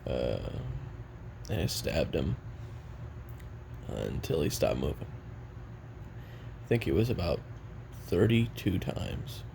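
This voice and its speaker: An actor playing a weary, regretful young assassin in an audio roleplay, making a halting, brooding confession in a gravelly voice. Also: male voice, a bass voice, big pitch swings, noisy background, exaggerated voice. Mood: grim, matter-of-fact. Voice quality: gravelly, creaky. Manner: halting, brooding, casually profane.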